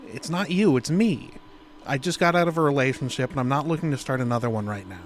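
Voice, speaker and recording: A man playing a reluctant, weary man fending off an unwanted caller in a horror audio drama, halting and curt. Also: medium pitch, a little hiss or hum, lightly acted. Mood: exasperated, firm.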